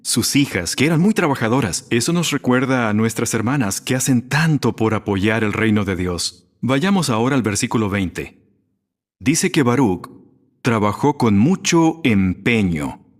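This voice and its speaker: A man delivering a prepared religious sermon in a measured, didactic manner. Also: mid-range voice, expressive. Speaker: religious speaker at a graduation.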